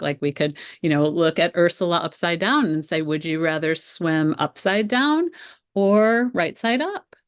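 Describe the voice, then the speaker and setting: Low-pitched American woman presenting a webinar. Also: no room sound, clean studio sound. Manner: explanatory, conversational, addresses viewers directly. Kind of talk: educational webinar presentation with informal asides.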